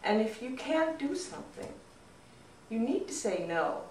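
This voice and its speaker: A woman voicing a patient, wise village healer in a folk story, measured and gently advising. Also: fairly high pitch, sing-song, a little hiss or hum. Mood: gently firm. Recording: roomy echo, distant mic.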